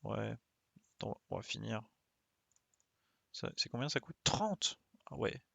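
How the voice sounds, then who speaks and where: A man with a creaky, gravelly voice streams a video game. He thinks aloud casually, muttering to himself and dropping in little playful sound effects. The sound is close and dry, with no room around it. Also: lowish voice, animated, unhurried, sharp tone, no echo. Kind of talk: casual live gameplay commentary.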